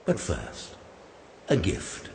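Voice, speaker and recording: An actor playing an ancient talking oak tree, wise, kindly but stern, speaking in a grave, formal way in an animated fantasy game. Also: male voice, deep voice, a little hiss or hum, heavily acted. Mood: solemn, benevolent. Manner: slow, grave, formal and deliberate.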